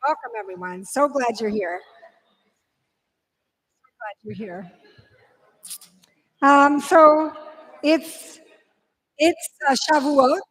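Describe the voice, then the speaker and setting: A woman with a high voice welcomes a group and leads a holiday service. She talks in an upbeat, chatty way, full of fillers and restarts. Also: slow, long pauses, fairly bright tone, poor audio. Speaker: service leader. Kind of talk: leading a holiday service, casual.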